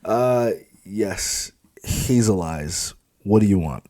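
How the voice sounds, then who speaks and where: A man's voice: on the low side, lively intonation, slow, dark tone, no room sound, clean studio sound. A man voicing a smug, crude club supervisor in an audiobook, dismissive and impatient with a sneering manner. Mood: mocking. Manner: sneering, exasperated, mouthy. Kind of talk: dramatised audiobook dialogue.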